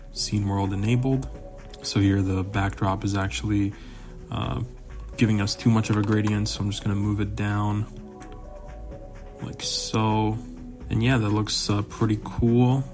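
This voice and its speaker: A man with a low, gravelly voice talks through a software tutorial as he works. He thinks aloud in a loose, relaxed way, with many small fillers between steps. Clear background music plays under his voice-over. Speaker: tutorial host. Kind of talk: screen-recorded software tutorial.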